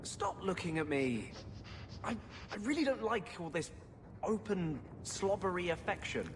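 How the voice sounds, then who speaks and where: An actor playing a grumbling, put-upon man fussing at a pet in a video game, whiny and halting. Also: high-pitched, sing-song, background noise, heavily acted. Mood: exasperated. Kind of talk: video game dialogue acting. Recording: long hall reverb, music behind.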